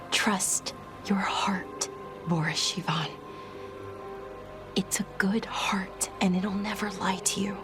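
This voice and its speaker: An actress playing a tender, anxious elven woman in a game cutscene, earnest and halting as she speaks about a brother. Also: medium pitch, lightly acted. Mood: pleading, tender. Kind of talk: dramatic video game cutscene acting. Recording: loud background music.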